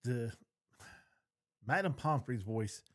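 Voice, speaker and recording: A man's voice: mid-range voice, animated, slow, a bit bright. Middle-aged man chatting casually. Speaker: podcast co-host. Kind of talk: casual chat.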